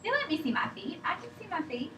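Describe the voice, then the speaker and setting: A woman's voice: very high-pitched, sing-song, quick pace. A woman acting a stubborn, evasive, sick woman patient in a drama table read, argumentative and rambling. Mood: agitated, evasive. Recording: echoey room, distant mic, faint noise.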